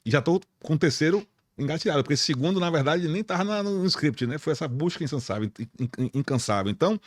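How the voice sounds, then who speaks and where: A man's voice: mid-range voice, no room sound, clean studio sound. A man with a Bahian Brazilian accent hosting an informal podcast, speaking in a blunt, slangy, emphatic way. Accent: Bahian Brazilian Portuguese. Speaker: podcast host.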